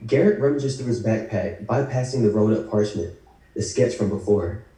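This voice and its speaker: Male script narrator, scripted action lines, very dark timbre, roomy and off-mic. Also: on the low side, normal speed. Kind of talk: reading screenplay action lines at a table read. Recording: very echoey room, distant mic.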